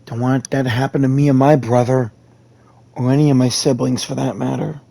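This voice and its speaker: A man plays through a game and speaks the dialogue choices himself in a relaxed, offhand way. His voice sits in an ordinary middle range, casual and lightly playful. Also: medium pitch, a little fast, gravelly. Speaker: gaming content creator. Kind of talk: reading dialogue options aloud during a playthrough.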